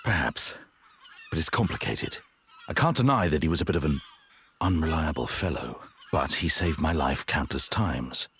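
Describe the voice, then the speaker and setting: A man voicing a grave, honourable, regretful warrior in a video game, earnest and measured. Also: a bass voice, animated, fairly bright tone, slight background noise. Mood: reflective, earnest. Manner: earnest, measured, formal, brooding. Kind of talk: dramatic video game voice acting.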